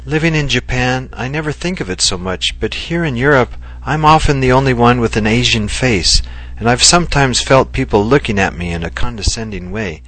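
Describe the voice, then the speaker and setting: A man voicing a gentle, loving Japanese wife who speaks earnestly and reflectively. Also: mid-range voice, expressive, brisk, voice pushed up. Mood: earnest, tender. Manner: earnest and heartfelt, softly reflective.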